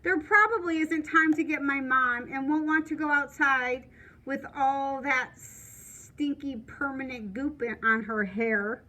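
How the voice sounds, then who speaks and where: A woman with a high voice reads a story aloud as a teacher would. She is expressive in the narration and slips into plain explanatory asides for young listeners. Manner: expressive, pausing to explain to children.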